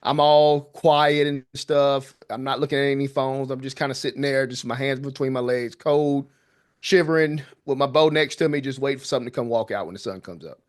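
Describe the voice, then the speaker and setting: A man guest on a podcast, telling a personal story in an easygoing, drawn-out way. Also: mid-range voice. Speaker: guest, filmmaker. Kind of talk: casual storytelling.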